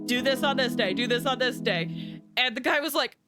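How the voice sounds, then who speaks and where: A woman's voice: a little high, moderate pace. Chatty woman streamer over game music. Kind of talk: casual chat to live stream viewers.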